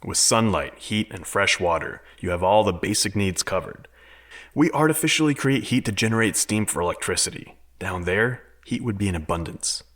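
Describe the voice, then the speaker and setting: Man playing a low-voiced, confident visionary scientist. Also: expressive, moderate pace, fairly bright tone. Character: confident male visionary scientist, open-minded, argumentative. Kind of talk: dramatic audio fiction acting.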